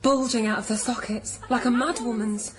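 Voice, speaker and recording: A woman acting in a radio drama as a troubled, frightened young woman, hushed and hesitant. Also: fairly high pitch, steady tone, normal speed, lightly acted, poor audio. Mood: haunted.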